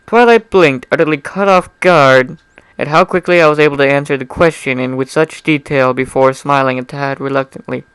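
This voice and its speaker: Clear-voiced man reading fan fiction aloud. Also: mid-range voice, moderate pace, a bit bright, no echo. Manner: informal, stumbles over words and corrects himself. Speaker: amateur fan fiction reader. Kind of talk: dramatic story reading with casual asides.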